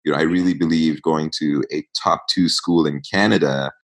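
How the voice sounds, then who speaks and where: A man in his thirties who grew up in East Africa, a guest telling stories in a relaxed interview with many fillers. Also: extremely low pitch, normal speed. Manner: reflective storytelling, many fillers. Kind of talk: casual interview talk.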